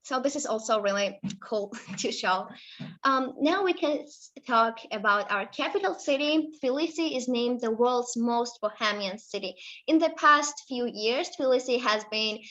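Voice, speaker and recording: Georgian teen girl giving a slide talk in accented English, higher voice, halting with fillers, lo-fi dull audio. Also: expressive, a bit bright. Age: late teens. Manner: halting, with many fillers and self-corrections. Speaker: student presenter. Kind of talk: presenting slides about her country.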